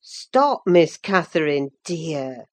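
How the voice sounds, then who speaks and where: Woman voicing an earnest, low, scolding motherly servant. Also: sing-song, exaggerated voice. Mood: reproving. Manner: admonishing, measured. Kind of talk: dramatised classic novel reading.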